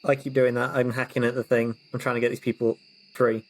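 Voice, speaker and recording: A man's voice: medium pitch, flat delivery, fairly quick, poor audio. A man playing a tabletop game, speaking casually in brief, playful remarks as he says what his character does. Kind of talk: casual improvised roleplay chat.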